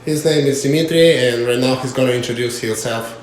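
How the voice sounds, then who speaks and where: A man's voice: medium pitch, slight background noise. Hesitant man interviewing. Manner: halting, many fillers, apologetic. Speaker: interviewer and channel host. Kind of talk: casual interview in non-native English. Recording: echoey room with faint ambience.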